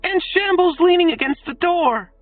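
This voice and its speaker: A man voicing a quick-witted, bold young woman in a storybook reading, in a high shouting falsetto. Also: lively intonation, no room sound. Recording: tinny phone-like audio, faint music.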